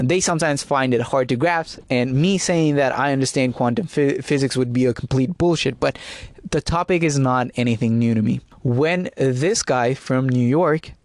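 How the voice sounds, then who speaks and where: A man's voice: mid-range voice, no echo, clean studio sound. A man whose first language is Nepali, talking casually on his own podcast in English, rambling with fillers and restarts. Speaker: podcast host. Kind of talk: casual solo podcast talk.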